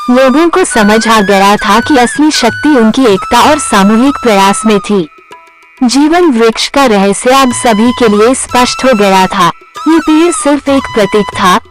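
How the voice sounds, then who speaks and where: A storyteller narrates a scripted moral tale at a medium pitch. Background music plays behind the narration.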